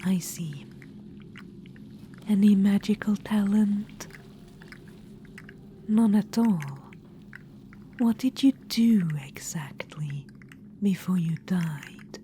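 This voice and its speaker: A woman voicing an ancient, vain and scheming undead witch-queen in a whispered ASMR roleplay. She whispers softly and unhurriedly, sounding condescending and calling the listener darling. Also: medium pitch, extremely slow, pauses often, slight background noise. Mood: sly, coaxing.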